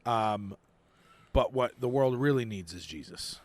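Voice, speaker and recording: A young man co-hosting a casual podcast, chatty and quick to laugh, recorded outdoors on a porch. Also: mid-range voice, expressive. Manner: chatty, laughs often, talks over his co-host. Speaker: podcast co-host. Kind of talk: casual chat.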